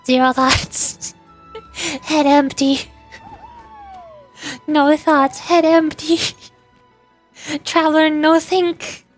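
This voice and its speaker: A woman's voice: high voice, level tone, extremely slow, pauses often, faint music behind. A woman VTuber chatting casually on a game livestream. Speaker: VTuber streamer.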